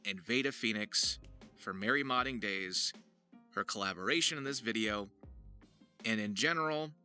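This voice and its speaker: A man's voice: lowish voice, moderate pace, pushed and bright, soft background music. A man hosting an informal voice-acting tutorial, talking straight to viewers like an earnest coach. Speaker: voice actor and video host.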